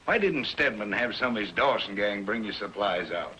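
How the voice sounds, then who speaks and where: Casting: kindly frontier miner, humble, homespun, lowish voice with a bright edge, vintage TV. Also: male voice, expressive, moderate pace, a little hiss or hum, rough recording. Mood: grateful, friendly. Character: grateful, kindly gold miner, friendly and humble. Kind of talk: 1950s television adventure acting.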